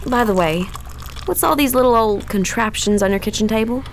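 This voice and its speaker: A woman's voice: a little high, fairly quick, slight background noise, natural voice. An actress playing a frightened, sugary Southern married woman in a radio drama, with a folksy, hesitant way of talking. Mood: sweet, hopeful. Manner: fluttery, folksy, hesitant stammer. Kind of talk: old-time radio drama acting.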